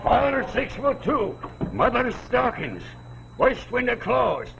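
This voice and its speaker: A man's voice: very high voice, big pitch swings, takes it slowly, creaky, over music, full character voice, low-quality recording. An actor playing a wry, grumbling working man with a colloquial, sardonic manner in a 1940s television drama. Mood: wry, uneasy. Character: wry, grumbling working-class army labourer.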